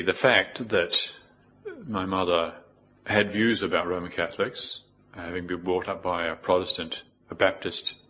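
A middle-aged man with a New Zealand accent giving an informal, reflective Buddhist talk in a measured way, with pauses. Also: sits low, sharp tone. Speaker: Buddhist monk, teacher. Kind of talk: informal spoken dharma talk with personal anecdotes.

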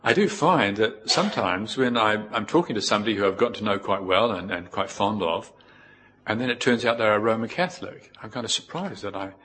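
Man, New Zealand accent, lowish pitch, reflective, pausing, spoken dharma talk, faint noise behind. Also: expressive, fairly bright tone. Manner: reflective, measured with pauses. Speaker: Buddhist monk, teacher. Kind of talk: informal spoken dharma talk with personal anecdotes.

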